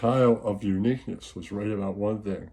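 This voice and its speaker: A man's voice: fairly low pitch, steady tone, fairly quick, warm tone. A man rambling through a crude comic monologue, mocking and repetitive, often leaning on catchphrases as a show host. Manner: crude, mocking, repetitive, laughs at own jokes.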